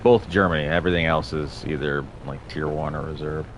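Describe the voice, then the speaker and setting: A man's voice: extremely low pitch, fairly even intonation, a little fast, noisy background. A man streaming a video game, rambling through casual, self-deprecating commentary as he plays.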